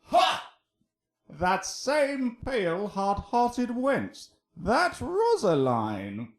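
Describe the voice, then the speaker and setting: Teasing young gentleman wit, Shakespearean radio play; high voice, unhurried theatrical flourishes. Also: wildly swooping pitch, heavily acted. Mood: exasperated mocking. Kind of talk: Shakespearean radio drama acting.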